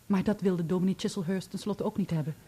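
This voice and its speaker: A composed, loyal woman on the witness stand. Her voice is soft-edged and mellow, and she answers in measured, formal sentences, in radio drama style. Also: lowish voice, steady tone, breathy edge. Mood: composed, assured. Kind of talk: Dutch radio play acting.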